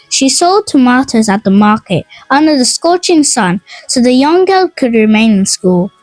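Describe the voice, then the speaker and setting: Woman, folk tale narrator, expressive, lively intonation, dry close sound, music behind. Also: on the high side, no room sound, poor audio. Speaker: storyteller.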